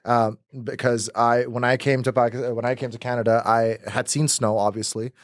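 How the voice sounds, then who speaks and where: A man's voice: mid-range voice, level tone, normal speed, dry recording, studio quality. A young man from Pakistan whose first language is Urdu, talking casually as a podcast guest with many restarts and fillers. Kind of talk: casual conversational interview.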